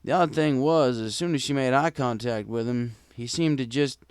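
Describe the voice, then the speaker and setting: A man's voice: medium pitch, normal speed, clean tone, no room sound, studio quality. A man reading a first-person story in a wry, conversational storytelling manner. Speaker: fan fiction reader.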